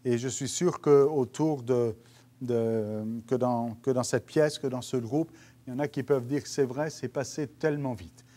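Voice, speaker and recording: Earnest pastor with lapel mic. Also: male voice, medium pitch, steady tone, moderate pace, dark tone. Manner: earnest, hesitant with many restarts and fillers. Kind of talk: video teaching for small groups. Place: studio stage with dark curtain.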